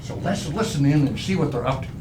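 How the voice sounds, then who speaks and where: A man's voice: fairly high pitch, expressive, quick pace, a little hiss or hum. A man announcing in the scripted style of a 1940s radio show. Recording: roomy, distant mic, loud music behind.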